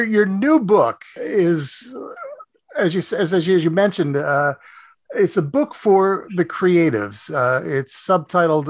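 A man hosting a conversational radio interview, with hesitant, halting and roundabout phrasing. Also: a little high, dry recording.

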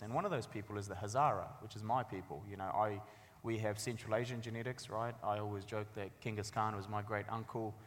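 A man's voice: lowish voice, a little hiss or hum. A man, giving an articulate, storytelling talk about his own life as a guest author. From Afghanistan, later New Zealand. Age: 30s. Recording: echoey hall with long reverb.

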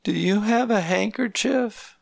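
Elderly grandmother in care, soft complaining, gravelly edge; narrator does the voice. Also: mid-range voice, unhurried, no room sound, pitched above the performer's own voice. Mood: tearful. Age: older. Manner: plaintive, soft-spoken complaining. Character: frail elderly grandmother in a nursing home, weary and complaining.

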